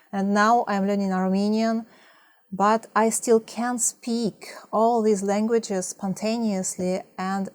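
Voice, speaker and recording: Soft-spoken Russian-accented woman vlogger. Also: medium pitch. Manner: soft-spoken, hesitant, many fillers. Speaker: language-learning vlogger. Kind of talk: partly scripted talk to camera.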